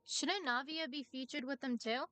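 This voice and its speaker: High, bright-voiced woman game streamer. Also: steady tone, moderate pace, no room sound. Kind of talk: casual live stream, reading game choices aloud and reacting.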